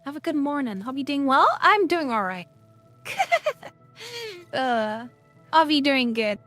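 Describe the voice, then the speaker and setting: A woman streamer chatting casually, rambling and gushing with lots of fillers, her voice getting worn from yelling. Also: high-pitched, big pitch swings, takes it slowly, faint music behind.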